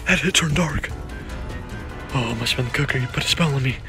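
A man's voice: medium pitch, fast, music in the background, natural voice. A man voicing a dogged furry beast on the hunt, muttering and repeating himself in an improvised home puppet film. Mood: puzzled.

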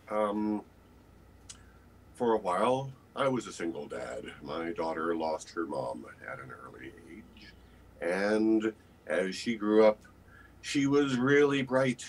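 An older man giving a personal, deliberate interview answer with long pauses over a video call. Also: on the low side, lively intonation, rough recording. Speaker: voice actor. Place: indoor room lined with books.

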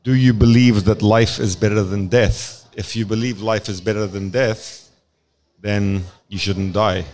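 A man's voice: lowish voice, fairly even intonation, a little slow, a little mellow. A young adult man as interviewer at a conference booth, affirming his guest with short agreements. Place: conference booth with plant wall. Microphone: boom studio mic.

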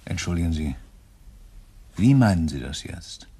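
A man's voice: low voice, sing-song, measured pace, dark tone, slightly rough, full character voice. An actor playing an uneasy, deferential watchmaker in a German crime radio play, hesitant and nervous in his way of talking. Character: uneasy, deferential male watchmaker with a guilty secret.

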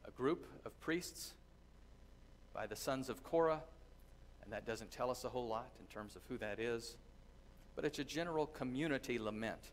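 A middle-aged man preaches to his congregation from a church sanctuary, his voice sitting at an ordinary pitch. He teaches earnestly and pauses to think between phrases. A faint low rumble hangs under the recording. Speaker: pastor. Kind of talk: sermon with scripture reading. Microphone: distant camera, lectern mic.

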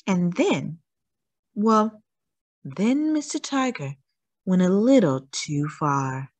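A woman's voice: on the low side, big pitch swings, takes it slowly, a little mellow, no room sound. A woman reading a children's story aloud expressively, stretching words out for theatrical emphasis.

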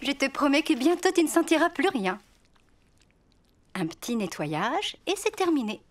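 A woman voicing a gentle mother bear in a preschool cartoon, soothing and encouraging. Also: high voice, big pitch swings, normal speed, slight background noise. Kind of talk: French preschool cartoon acting.

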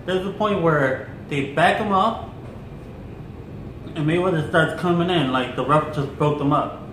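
A young man co-hosting a casual chat, relaxed, joking and opinionated. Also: fairly high pitch, unhurried. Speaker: co-host. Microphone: camera a few feet away. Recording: echoey room with clear background noise.

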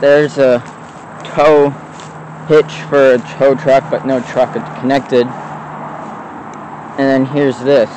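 A man's voice: medium pitch, little pitch movement, slow pace, long pauses, a little mellow, poor audio. A man casually narrating a walk around an abandoned lot with old trucks, talking offhand and rambling, recorded outdoors on a handheld phone. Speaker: vlogger exploring abandoned vehicles. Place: outdoors in an overgrown lot beside a road. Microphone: handheld phone camera. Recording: faint outdoor traffic noise. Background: faint road traffic.

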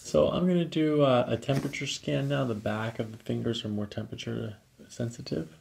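Calm guy chiropractor, gravelly fry in his voice, gentle short instructions to a patient. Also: mid-range voice, fairly quick, a little hiss or hum. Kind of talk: calm clinical talk with patient during ASMR adjustment. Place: small carpeted office treatment room. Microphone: microphone on boom stand nearby.

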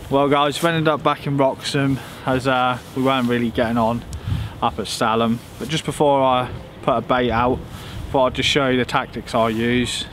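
A young man chats casually to the camera as an angling vlogger. His mid-pitched voice stays quite flat in melody. He is recorded outside, close to the camera, with clear ambient noise around him. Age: young adult. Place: outdoors by a river boatyard. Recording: clearly noisy outdoor ambience.

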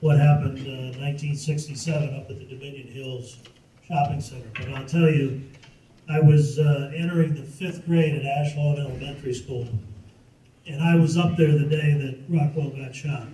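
A man from the audience recounting an eyewitness memory in a halting way, with fillers. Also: mid-range voice, steady tone, warm tone. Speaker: audience member. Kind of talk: eyewitness anecdote. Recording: echoey hall, distant mic.

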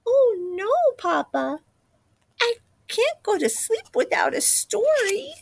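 A woman voicing an eager, excitable little red chicken, a young girl who pleads and exclaims, in a storybook reading. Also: very high-pitched, slow pace, creaky, no room sound, pitched above the performer's own voice, exaggerated voice. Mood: pleading.

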